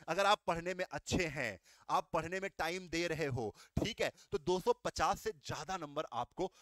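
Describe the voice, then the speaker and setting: A man's voice: a little high, fairly bright tone, dry recording. A man teaching exam aspirants, explaining step by step, asking questions and answering them himself. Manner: explains step by step, asks and answers himself, calls students "beta". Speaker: coaching teacher. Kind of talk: exam guidance talk.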